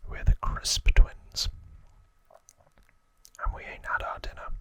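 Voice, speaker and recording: A man's voice: slow, lightly acted. A man voicing a boastful, threatening London gangster twin in a put-on Cockney accent, whispering his slangy lines from a game manual. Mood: boastful, menacing. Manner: threatening, slangy, self-important.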